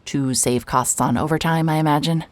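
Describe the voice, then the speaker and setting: An actress playing a sardonic, hard-hearted private investigator who talks in dry, wisecracking sarcasm. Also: female voice, deep voice, steady tone, moderate pace, natural voice. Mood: sarcastic. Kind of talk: audio drama acting and first-person narration.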